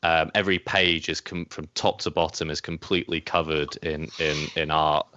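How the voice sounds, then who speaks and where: Male podcast co-host, crisp forward low voice, casual chat, dry and slightly lo-fi sound. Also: flat delivery, moderate pace, sharp tone, no room sound. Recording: dull, lo-fi but dry recording.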